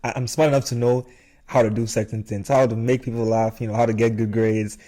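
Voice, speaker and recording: A man's voice: mid-range voice, little pitch movement, brisk, mellow. A man hosting a podcast in casual chat, informally explaining his reasoning at length. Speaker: podcast host.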